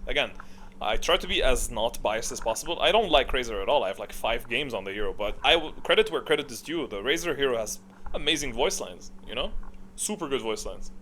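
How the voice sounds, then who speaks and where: A man's voice: mid-range voice, pushed and bright, a little hiss or hum. A man giving casual, opinionated tier-list commentary, thinking aloud in a rambling way with gamer slang. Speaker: gaming video creator.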